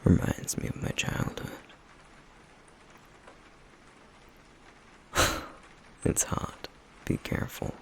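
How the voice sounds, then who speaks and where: A man voicing a stoic, guarded flame demon in an intimate ASMR roleplay, with a breathy, gravelly murmur and a terse, deadpan, caring manner. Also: measured pace, slight background noise, close to an everyday voice, rough recording. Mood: guarded, gentle.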